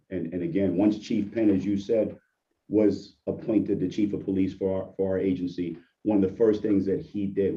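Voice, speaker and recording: A hoarse man, a deputy police chief, giving an earnest presentation on a video call. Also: deep voice. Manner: earnest, appreciative, restarts often. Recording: distant muffled video call audio.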